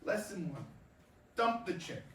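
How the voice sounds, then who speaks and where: A man's voice: high voice, big pitch swings, measured pace, a bit bright, exaggerated voice. A man on stage playing a cocky, crude veteran baseball star who brashly and slyly schools a rookie in slangy tough-guy talk. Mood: swaggering, coaching. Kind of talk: staged reading of a play, theatrical dialogue. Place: indoor performance space, recorded from afar. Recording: roomy distant stage recording, background noise.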